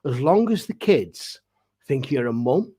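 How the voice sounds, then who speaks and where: A man's voice: medium pitch, big pitch swings, unhurried, mellow, breathy edge. A man chatting casually as a pantomime performer on a panel, speaking emphatically in short bursts.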